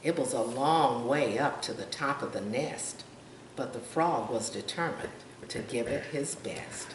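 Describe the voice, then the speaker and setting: A woman's voice: very deep voice, measured pace. An older woman reading a children's picture book aloud, pointing out pictures and putting questions to young listeners. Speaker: storytime reader. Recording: roomy, distant mic, faint noise.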